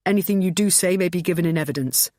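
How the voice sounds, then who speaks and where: Woman voicing a brisk English police officer. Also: lowish voice, a little mellow, no room sound, clean studio sound, pitched above the performer's own voice, natural voice. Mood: formal. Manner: formal, brisk recitation. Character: male English police officer reading a caution.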